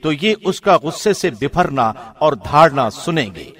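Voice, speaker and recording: Measured, higher-pitched man reading scripture translation. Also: big pitch swings, fairly bright tone. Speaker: translation narrator, radio host.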